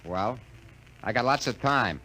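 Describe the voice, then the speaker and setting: A man's voice: mid-range voice, lively intonation, bright, forceful tone, rough recording. An actor playing a breezy, slangy salesman in a 1950s radio drama. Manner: breezy, slangy, fast-talking. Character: fast-talking salesman and repairman. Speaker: logic salesman. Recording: old radio recording with faint noise.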